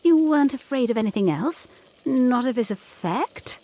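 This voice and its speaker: Casting: an intense young governess for gothic audio drama, high and expressive, earnest and probing. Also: female voice, normal speed. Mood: insistent, suspicious. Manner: intense, questioning, formal period diction. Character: earnest, anxious, insistent young Victorian governess. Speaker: actor in an audio play.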